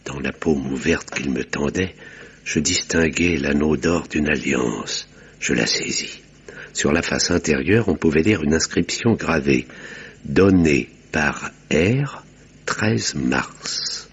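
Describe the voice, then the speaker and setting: Storyteller for old radio whodunit: extremely low, very husky, measured and sober, muffled vintage sound. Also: male voice, lively intonation, exaggerated voice. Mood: grave, intrigued. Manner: measured, literary, deliberate. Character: male doctor narrator, sober, observant storyteller of a mystery. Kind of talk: scripted narration in vintage radio drama.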